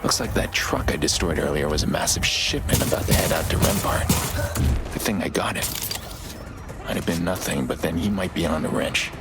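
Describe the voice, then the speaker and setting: An actor playing a gruff middle-aged vigilante hacker in a video game, terse and deadpan, with a gravelly voice. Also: male voice, a little fast, very noisy. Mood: focused muttering. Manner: terse, low-key, deadpan. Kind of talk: video game dramatic acting.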